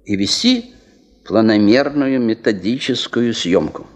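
An actor in a Soviet radio drama playing a gravely ill veteran geologist, a wry, philosophical man who talks in a measured, resigned way. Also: on the low side, lively intonation. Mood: wry, reasoning. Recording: muffled, long reverberant radio-play sound.

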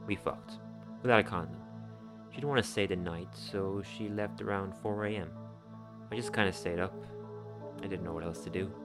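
A man performs a young man looking back on a doomed love affair in a dark-comic radio play. His voice is low, his storytelling wistful and plain-spoken, blunt even when the language turns poetic. Music plays clearly behind him. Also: normal speed, pauses often, close to an everyday voice. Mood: frank.

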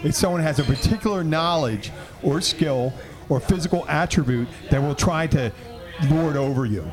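A man's voice: on the high side, normal speed, over music. A man hosting a casual podcast, rambling and laughing often, trailing off mid-sentence. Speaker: podcast host. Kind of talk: casual banter.

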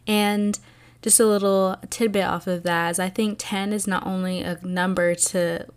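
A young woman hosting her own podcast, talking alone in a soft, soothing and earnest way, with many fillers. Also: mid-range voice, steady tone, moderate pace, smooth. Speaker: podcast host. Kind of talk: reflective solo podcast talk.

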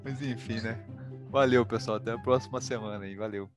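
A man's voice: mid-range voice, steady tone, fairly quick, music in the background. A young man with an interior São Paulo accent, co-hosting a casual podcast with joking, slangy banter. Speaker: podcast co-host.

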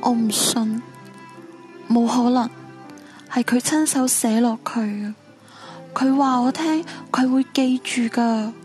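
Bitter young ghost woman, high voice. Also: takes it slowly, long pauses, a little mellow, faint music behind. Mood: anguished, disbelieving. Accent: Hong Kong Cantonese. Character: bitter, heartbroken young female ghost, sarcastic and stubborn. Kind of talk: live supernatural radio drama acting.

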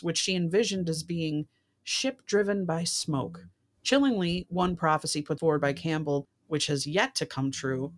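A woman hosting a casual talk show, reading out odd news stories and dropping in informal asides. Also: low voice.

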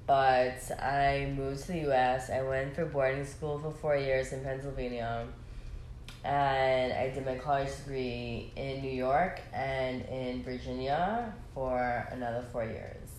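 A woman, a guest talking in a relaxed, reflective way with many fillers. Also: very deep voice, slight background noise. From Bahamas. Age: 20s. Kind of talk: casual conversation. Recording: echoey room, faint ambience.

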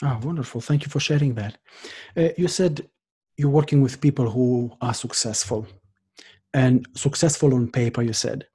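Male host, husky voice, careful and slightly halting delivery. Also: mid-range voice, dark tone. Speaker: podcast host. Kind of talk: interview hosting.

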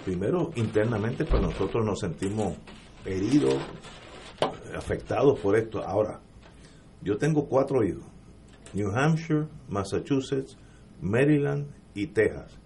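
A middle-aged Puerto Rican man on a political radio panel, speaking emphatically and building to forceful points. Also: lowish voice, expressive, unhurried, pauses often, slight background noise. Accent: Puerto Rican Spanish.

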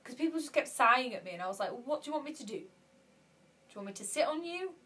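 A young woman telling stories in a casual podcast chat, animated and laughing often. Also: sits high, fast talker. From Yorkshire, England. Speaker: podcast co-host.

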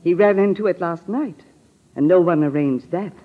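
An actress playing a grave, uneasy woman with a solemn, deliberate delivery in a 1940s radio drama. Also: lowish voice, lightly acted. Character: grave, uneasy woman haunted by her suspicions. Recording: muffled, reverberant old-time radio recording.

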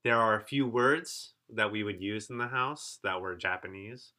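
A man's voice: lowish voice, moderate pace. A man, telling stories in a casual podcast chat and often checking in with "right?". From Korea. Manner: relaxed, chatty, frequent restarts and "right?" checks. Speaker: podcast co-host. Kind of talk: casual conversational storytelling.

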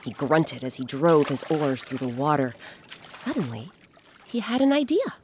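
Low-voiced, animated woman storyteller. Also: a little hiss or hum. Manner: expressive, dramatic pauses, addresses young listeners. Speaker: host and narrator. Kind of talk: scripted storytelling for children. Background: music.

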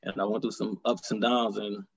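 Husky man, reflective. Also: on the low side, level tone, muffled recording. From Detroit, Michigan. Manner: reflective and earnest, halting. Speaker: author, interview guest. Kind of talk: conversational interview.

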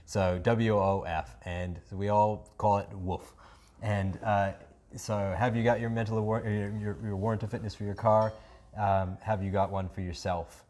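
A man's voice: lowish voice, flat delivery, unhurried, darkish tone. A man with a New Zealand accent hosting a casual podcast chat, enthusiastic and rambling, with many ums and restarts. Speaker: podcast host.

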